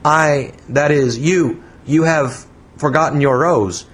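A man acting out a stiff, pious man from a storybook. He talks in a curt, formal and earnest way, slightly awkward, with a lively rise and fall. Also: mid-range voice, normal speed, husky, voice pushed up. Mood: flustered.

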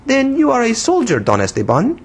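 A man acting out a pert young woman of a storybook tale, her childish voice pitched up high. She has a Spanish accent and teases and pouts in broken English, with lively swoops. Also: fairly quick, husky, full character voice. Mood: saucy.

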